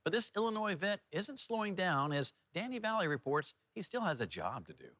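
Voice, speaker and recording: Animated higher-pitched male news anchor. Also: fairly quick, a little hiss or hum. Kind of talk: television newscast with casual co-anchor banter.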